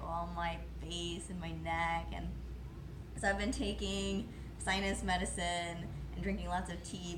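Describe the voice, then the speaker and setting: Hoarse chatty woman, windy outdoors. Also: on the low side, echoey room. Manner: chatty, rambling, lots of fillers. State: hoarse, losing her voice. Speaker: vlogger. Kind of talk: casual chat. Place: outdoors in wind.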